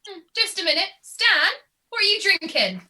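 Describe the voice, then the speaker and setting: A woman's voice: very high voice, big pitch swings, normal speed, pushed and bright, heavily acted. A woman playing a cheeky, teasing barmaid in a radio comedy. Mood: brisk, friendly. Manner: teasing, giggly. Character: cheeky pub barmaid, quick with innuendo. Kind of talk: radio comedy play reading.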